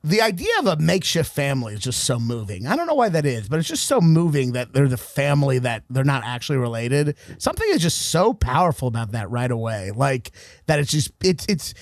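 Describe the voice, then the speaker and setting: A young man co-hosting a casual movie podcast, talking in an excited, rambling way and laughing often. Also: mid-range voice, brisk, no echo, studio quality. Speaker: podcast co-host.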